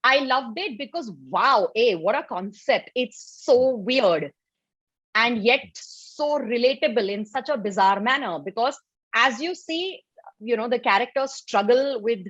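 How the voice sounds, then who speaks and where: A woman with an Indian English accent co-hosts a review podcast in a lively, opinionated way. Her voice sits a bit high and is recorded dry, with a dull, lo-fi sound. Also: a little slow, no room sound. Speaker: podcast co-host. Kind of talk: casual review chat.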